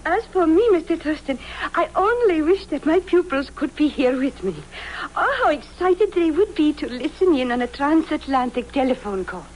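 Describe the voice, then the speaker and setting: High-voiced smug villainess. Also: female voice, animated, normal speed. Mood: gushing. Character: smug, cunning female spy posing as schoolteacher. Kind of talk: 1950s radio drama acting. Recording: slightly noisy vintage radio recording.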